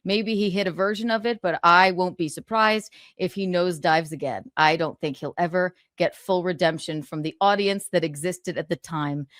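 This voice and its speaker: A woman's voice: lowish voice, normal speed, hardly any pauses, fairly bright tone, no room sound, studio quality. A woman livestreaming, switching between casual chat and reading book passages aloud, with gloating, sarcastic, theatrical emphasis. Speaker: streamer.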